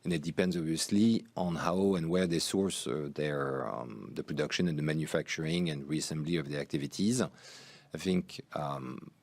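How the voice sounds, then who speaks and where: A man speaking as a chief executive on an earnings call, answering in a measured, carefully qualified way. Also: a bass voice, vocal fry, darkish tone, no room sound. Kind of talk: earnings call Q&A, unscripted explanation.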